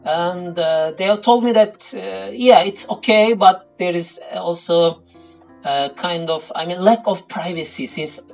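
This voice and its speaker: A man with a Turkish accent who speaks English as a second language, answering interview questions in an unhurried, searching way. He pauses often on fillers and restarts his sentences. Soft guitar plays faintly behind him. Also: high voice, gravelly. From Turkey. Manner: hesitant, many fillers and restarts.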